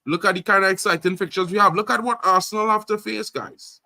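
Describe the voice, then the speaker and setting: Casual live stream host, trinidad guy, high pitch, loud and hyped, dry close mic. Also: quick pace, gravelly, dry recording. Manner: animated, loud, repeats fillers like "you know what I mean".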